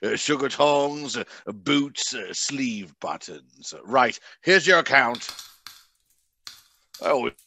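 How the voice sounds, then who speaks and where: Creaky, chuckling old rascal. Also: male voice, medium pitch, lively intonation, slow pace, pushed and bright, a little hiss or hum, exaggerated voice. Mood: shrewd, grudging. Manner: chuckling, sly, muttering. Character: gray-haired old rascal, wheezy gleeful junk dealer, seventy. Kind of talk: radio play acting.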